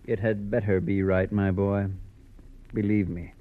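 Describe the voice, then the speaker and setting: An actor playing a suave, haughty older crime boss in a classic radio drama, formal, condescending and measured. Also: male voice, on the low side, little pitch movement, close to an everyday voice. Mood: icy condescension. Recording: muffled old radio recording.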